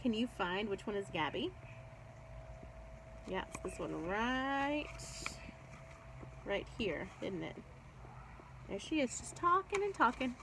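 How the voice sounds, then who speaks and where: A woman reading a children's book aloud, expressive and explanatory, as if speaking to young children. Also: fairly high pitch, takes it slowly, long pauses, noisy background. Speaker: read-aloud storyteller. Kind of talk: children's book read-aloud with chatty asides.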